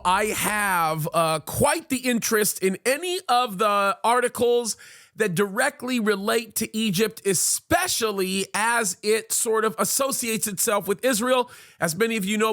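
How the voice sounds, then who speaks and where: A man with a high voice and a gravelly, creaky edge reads a news piece aloud and breaks off to weigh in. He presses hard on the words he wants to stress and fills pauses with "uh" while he thinks. The delivery is earnest commentary from a pastor. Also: a bit bright. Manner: earnest, emphatic, leans hard on key words.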